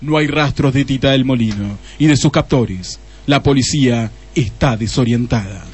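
An actor playing a grave, melodramatic narrator with an Argentine accent in a parody radio drama. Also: male voice, mid-range voice, normal speed, a little mellow, breathy edge, close to an everyday voice. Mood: mock-solemn. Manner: mock-solemn, portentous.

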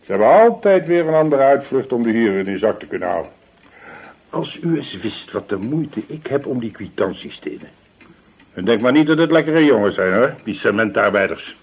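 Radio play landlord: disdainful, gossipy, self-justifying grumbler. Husky voice, animated melody, medium register. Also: male voice, medium pitch, a little fast. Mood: grumbling. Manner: disdainful, evasive, complaining. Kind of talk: Dutch radio play dialogue.

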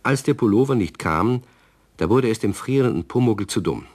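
A man narrating a children's radio story calmly, speaking to young listeners. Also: lowish voice, normal speed, husky.

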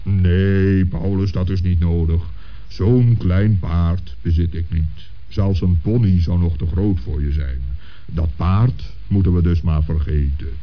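Kindly old bishop saint, really low voice, stately n patient, kids radio play, muffled old tape. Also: male voice. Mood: gently practical. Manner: measured, reassuring, stately. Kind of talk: children's radio play acting.

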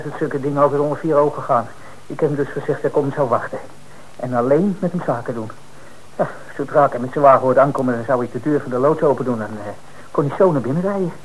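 An actor playing a defensive male suspect under questioning in an old radio play, talking quickly and protesting. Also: fairly high pitch, close to an everyday voice, low-quality recording. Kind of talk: old Dutch radio drama acting.

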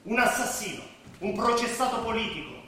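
A hard, officious police brigadier, high and forceful in voice. He is curt and contemptuous, and sounds distant in a very echoey hall. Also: lively intonation, moderate pace, heavily acted. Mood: officious coldness. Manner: curt, commanding. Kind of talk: stage drama acting. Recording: echoey theatre stage, distant, faint noise.

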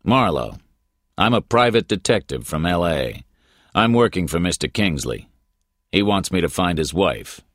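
A male narrator voices a private detective in a crime audiobook. The voice is very deep and slow, terse and matter-of-fact, with a deadpan edge. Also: no room sound, studio quality, full character voice. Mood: cool, guarded. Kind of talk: audiobook character voice.

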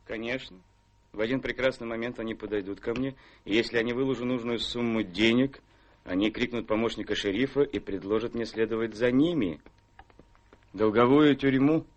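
A middle-pitched voice gives this gentleman gambler , played by a man, a weary, worried air. He speaks with glum, dry irony in theatrical radio-play style. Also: moderate pace, natural voice. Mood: anxious, gloomy.

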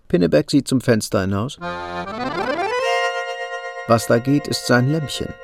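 An actor narrating a radio drama as a wry storyteller with a creaky voice. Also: male voice, medium pitch, animated, unhurried, loud music behind the voice. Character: wry male storyteller of a literary tale.

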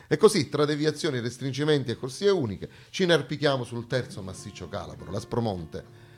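Southern Italian man, theatrical satirical monologue. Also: medium pitch. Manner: dramatic, sarcastic, expressive storytelling. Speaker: stage actor and monologuist.

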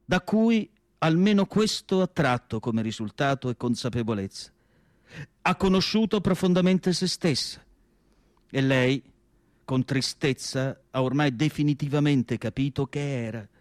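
A man playing a melancholy asylum doctor in radio theatre, narrating in an emphatic literary monologue with dramatic pauses. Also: medium pitch, no room sound, studio quality, natural voice. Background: music.

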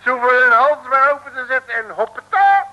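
An actor playing a cheeky, blustering detective with a Dutch accent and folksy slangy talk, in an old comic radio play. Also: male voice, very high voice, expressive, brisk, sharp tone, highs cut off, exaggerated voice. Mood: cheeky, excitable. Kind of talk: 1950s-60s comic radio play acting.

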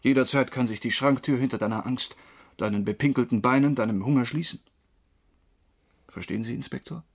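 An actor playing a troubled former drinker, wrongly convicted, confessing haltingly in a crime radio drama, with a husky voice. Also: male voice, mid-range voice, natural voice. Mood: bitter, haunted. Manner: halting, brooding.

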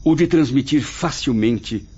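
A man's voice: mid-range voice, measured pace, dark tone, husky. A man playing the melancholy, proud spirit of a dead writer in a radio soap, with theatrical, literary lamenting. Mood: dejected, wounded. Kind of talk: dramatic radio soap acting. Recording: muffled radio drama with faint music.

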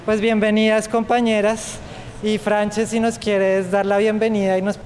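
A woman's voice: medium pitch, level tone, a little slow, a little mellow, big-room sound. A woman hosting an event, informally welcoming people and introducing speakers. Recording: noisy live event with crowd murmur.

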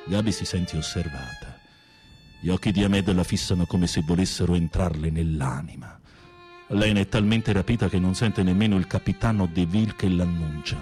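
A man playing a campy, low-voiced theatrical inmate. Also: moderate pace, a little mellow, soft background music, lightly acted. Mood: dreamy storytelling. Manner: florid, campy, teasing storytelling. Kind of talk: radio play acting.

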